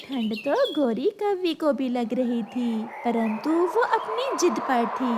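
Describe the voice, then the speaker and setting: A woman's voice: high-pitched, very animated, slow pace, noisy background. A woman reading scripted narration for a children's cartoon story.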